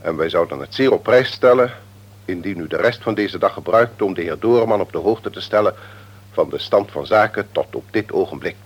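Deep, husky, formal company boss. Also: male voice, expressive. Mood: formally stern. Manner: stiff, official, measured. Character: formal, authoritative older male company director. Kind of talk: Dutch radio play acting. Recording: dull lo-fi old radio recording.

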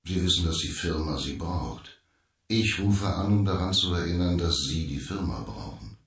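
Very deep, echoing formal boss. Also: male voice, animated, normal speed, creaky, a bit bright, full character voice. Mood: cool pressure. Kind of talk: radio drama acting. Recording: very echoey, distant voice.

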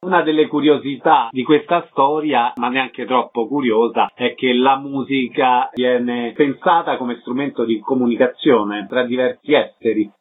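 A man's voice: mid-range voice, fairly even intonation, a little slow, hardly any pauses, husky, narrow, tinny audio. A man presenting and asking questions as a radio interviewer. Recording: thin band-limited radio sound.

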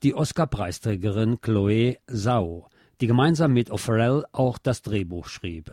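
A man's voice: mid-range voice, a little mellow, dry recording, studio quality. A man hosting a radio show, reading a scripted review in a formal manner and addressing his listeners directly.

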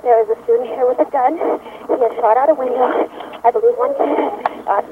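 A woman's voice: on the high side, brisk. An American woman, a teacher, speaking in fear and panic on an emergency call over a phone. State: panicked, afraid. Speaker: teacher calling emergency services. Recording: noisy, tinny emergency phone line.

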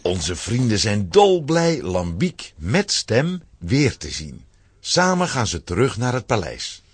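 A man giving a dramatic, expressive scripted narration for a children's audio drama. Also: lowish voice, slow pace, slight background noise.

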